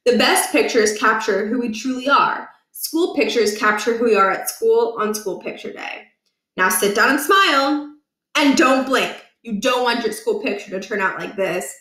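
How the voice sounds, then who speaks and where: Higher-voiced woman storyteller in an echoey room. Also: moderate pace, fairly bright tone. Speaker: storyteller reading aloud. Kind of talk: expressive children's book narration.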